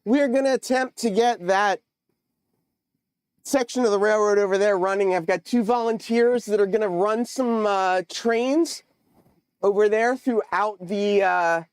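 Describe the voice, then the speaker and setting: A man with a high, smooth voice hosts a casual livestream with a stuffy, congested nose. He chats with viewers in a halting way, full of fillers and restarts. The sound is dry and close. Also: no room sound. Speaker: livestream host. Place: home model railroad room.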